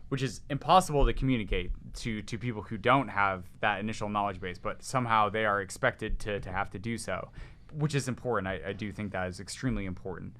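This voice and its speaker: A man with a loud, resonant voice, talking excitedly as a podcast guest, rambling with many restarts. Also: on the low side, quick pace. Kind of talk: casual chat.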